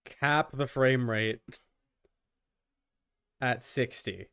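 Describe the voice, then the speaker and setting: Clear-voiced man streaming a game. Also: mid-range voice, very slow, pushed and bright. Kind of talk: casual live gaming commentary.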